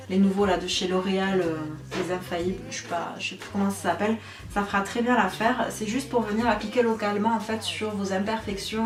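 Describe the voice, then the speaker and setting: A young woman giving a casual makeup tutorial, chatty and self-mocking, with frequent fillers. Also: medium pitch. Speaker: beauty vlogger. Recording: echoey room, voice a bit far from mic, faint music.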